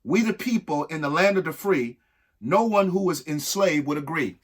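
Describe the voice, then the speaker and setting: A man reading a children's picture book aloud in a theatrical, emphatic way, with dramatic pauses and asides to young listeners. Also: on the high side, lively intonation.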